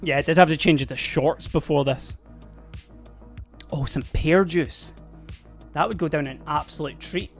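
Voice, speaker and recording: A live-streaming miniature painter chatting casually and giggling a lot while painting, a little hoarse from laughing. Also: mid-range voice, moderate pace, pauses often, faint music behind. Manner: chatty, giggly, rambling, addresses chat.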